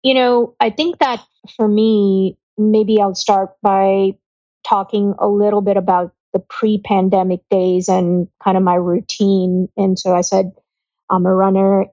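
A woman in her thirties from Kenya, a podcast guest speaking in a steady, reflective way. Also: medium pitch, dry recording. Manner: steady, reflective, even-toned. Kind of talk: casual interview chat. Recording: dry, dull lo-fi sound.

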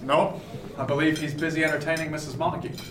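A man's voice: a little high, level tone, quick pace, noisy background, natural voice. A man playing a hearty, respected first mate in a period seafaring radio drama, speaking with genial authority and nautical phrasing. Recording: echoey room with faint music.

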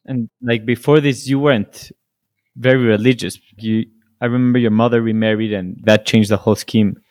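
A man hosting a podcast, a native Spanish speaker asking questions in English slowly and hesitantly, full of admiration for his guest. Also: medium pitch, steady tone, a little mellow. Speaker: podcast host. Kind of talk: podcast interview.

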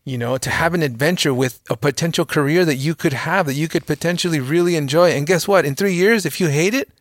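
A man's voice: on the high side, fast, dry recording, clean studio sound. A man in his thirties giving earnest, motivational advice in a casual podcast conversation. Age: 30s.

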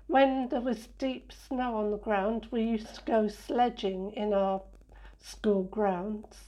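A woman from England answering interview questions in an unhurried, reflective way, with many fillers and restarts. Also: mid-range voice, steady tone, dark tone, rough recording.

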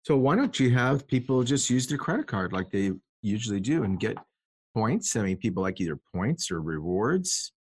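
A man co-hosting an interview, asking questions with hesitant pauses. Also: lowish voice, sing-song, a little hiss or hum. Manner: hesitant, fills with uh. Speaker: co-host.

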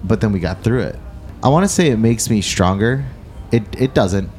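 A lower-voiced man from the Philippines and Detroit chats about restaurant life in loose, rambling sentences. He puts himself down with a laugh and acts out other people's remarks. Faint noise sits under the recording. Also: animated, normal speed, darkish tone. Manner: rambling, self-deprecating, acts out customers. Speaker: chef guest. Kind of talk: casual chat.

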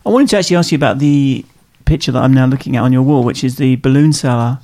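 Guy doing an interview, talks quick, lots of yeahs and mms, asks follow-ups. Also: medium pitch, mellow. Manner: attentive, prompting questions, frequent murmured agreement. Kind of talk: conversational interview.

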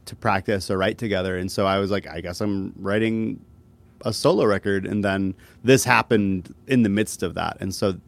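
A man chatting casually as a musician being interviewed, talking in a laid-back, self-deprecating way with halting phrasing. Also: deep voice, vocal fry. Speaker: musician guest.

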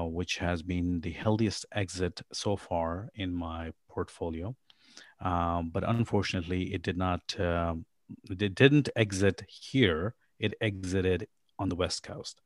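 A man's voice: low voice, no echo, studio quality. A middle-aged man from Pakistan, a startup founder chatting in a podcast interview, rambling with lots of ums and uhs. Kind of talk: casual interview chat.

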